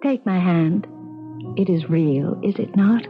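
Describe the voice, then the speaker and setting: A woman's voice: fairly low pitch, slow pace, music in the background. An actress playing a mysterious, alluring, commanding woman who speaks formally in a 1970s radio drama. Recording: tinny 1970s radio recording.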